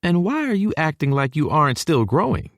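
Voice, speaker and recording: A man's voice: on the high side, big pitch swings, a little fast, fairly bright tone, dry recording, studio quality, pitched above the performer's own voice. A man voicing a doting Texan mom. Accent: Southern US (Texas). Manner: affectionate, doting. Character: warm motherly Texan woman, cheerful.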